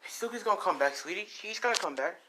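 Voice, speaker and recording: A man's voice: high-pitched, expressive, moderate pace, background noise, voice pushed up. A man voicing a comforting mother in a story, tenderly soothing her child. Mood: reassuring.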